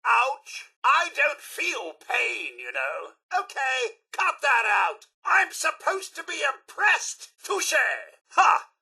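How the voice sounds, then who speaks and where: A man voicing a fussy, gentlemanly robot butler with a posh British accent and an exclamatory manner, in video game voice lines. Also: very high voice, big pitch swings, takes it slowly, creaky, hardly any pauses, full character voice. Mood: indignant, put-upon. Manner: posh, theatrical, polite, exclamatory. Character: eager, fussy, gentlemanly robot butler, male, itching to fight.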